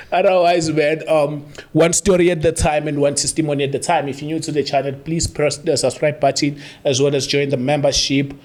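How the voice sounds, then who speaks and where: A man with a South African accent who hosts an interview podcast, talking casually and warmly with lots of laughs and "man". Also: on the high side, little pitch movement, brisk. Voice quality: hype-man, laughs often, affectionate filler "man". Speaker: podcast host.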